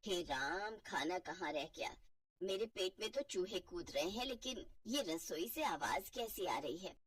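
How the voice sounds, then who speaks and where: A woman's voice: fairly low pitch, animated, unhurried, husky, dry recording, distant mic, pitched below the performer's own voice. A woman voicing an exasperated, melodramatic elderly mother-in-law who grumbles in a family cartoon. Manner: grumbling, dramatic complaining. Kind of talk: Hindi family cartoon voice acting.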